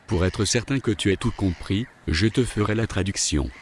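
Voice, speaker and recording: Man as a stern low-voiced kidnapper. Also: slight background noise, natural voice. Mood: matter-of-fact, firm. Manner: measured, firm. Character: stern, matter-of-fact male kidnapper acting as translator. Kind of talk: audio drama dialogue.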